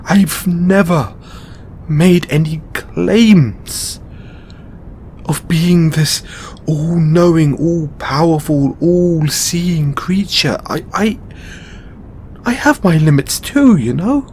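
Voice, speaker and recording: Man acting as burdened weary god, slow halting delivery, intimate roleplay monologue, sighing, faint-to-clear rumbly background noise. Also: darkish tone. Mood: pained, defensive. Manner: halting, sighing, with drawn-out pauses. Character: weary, burdened male god confiding in his lover.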